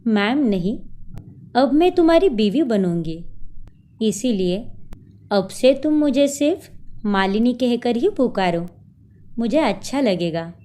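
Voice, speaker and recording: Woman acting a scheming, high-voiced, possessive rival. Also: animated, slow, long pauses, dark tone. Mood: sweetly insistent. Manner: pushy, insistent, coquettish. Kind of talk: melodramatic cartoon story acting.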